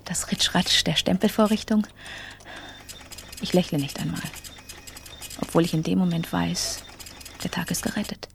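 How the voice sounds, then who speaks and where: An actress playing a proud, coldly controlled female boss in a radio drama monologue, measured and self-possessed. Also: fairly low pitch, fairly even intonation, gravelly, slight background noise. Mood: icily controlled.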